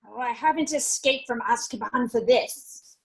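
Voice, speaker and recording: Woman acting a cruel, taunting dark witch, highish. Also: moderate pace, vocal fry, rough recording. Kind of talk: amateur dramatic table read.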